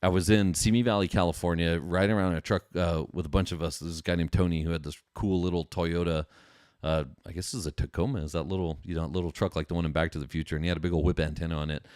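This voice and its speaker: A man's voice: sits low, fairly even intonation, quick pace, a little mellow, no echo, clean studio sound. A middle-aged American man hosting a podcast in a casual, enthusiastic, slangy solo chat. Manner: informal, enthusiastic, slangy, chatty. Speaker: podcast host.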